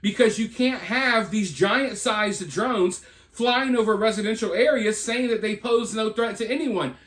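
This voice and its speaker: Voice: medium pitch, unhurried, fairly bright tone. A host presenting news and commentary in an informal, conversational and emphatic way. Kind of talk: reading a news report with off-the-cuff opinion commentary. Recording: roomy, echoey sound.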